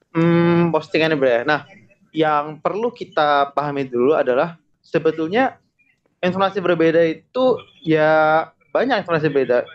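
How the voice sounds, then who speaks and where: A young man talks as a podcast guest, laying out his points in relaxed everyday slang with frequent chuckles. His voice sits a little high. The recording is dull and lo-fi. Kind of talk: casual podcast chat.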